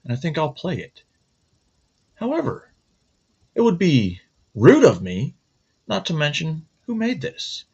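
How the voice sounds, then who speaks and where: A man's voice: on the high side, wildly swooping pitch, a little slow, gravelly, long pauses, darkish tone, slightly rough. A man hosting his own show, chatting casually and rambling, cracking jokes and correcting himself. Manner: rambling, joking, self-correcting. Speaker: host. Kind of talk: casual morning show chat. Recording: dull lo-fi sound.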